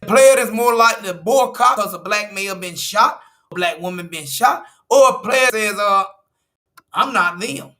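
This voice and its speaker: American guy doing commentary on an article, pushy, keeps repeating himself, sharp bright voice. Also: high voice, moderate pace. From United States. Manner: emphatic and repetitive, stresses numbers and restarts phrases. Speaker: political commentator. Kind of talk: commentary walking through an article.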